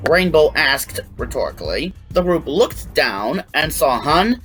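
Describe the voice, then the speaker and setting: A woman's voice: low voice, very animated, unhurried, a bit bright, rough recording. A woman narrating a scripted fan fiction story as its storyteller. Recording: faint music behind the voice.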